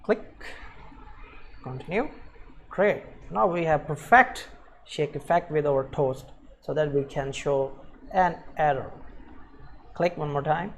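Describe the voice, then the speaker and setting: A man presenting an unscripted coding screencast, thinking aloud in a halting way as he works. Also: on the high side, a little hiss or hum. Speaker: programming tutorial presenter.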